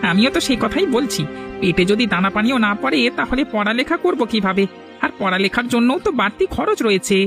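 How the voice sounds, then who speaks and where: A man's voice: extremely high pitch, animated, fast, gravelly, over music, heavily acted. A man voicing a chattering, doubtful village gossip in a cartoon. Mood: gossipy. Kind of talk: Bengali cartoon dialogue dubbing.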